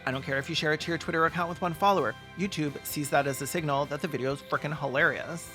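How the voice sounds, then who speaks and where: A video essay host doing sarcastic comedic commentary with mock-serious, deadpan jokes. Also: mid-range voice, very fast, faint music behind.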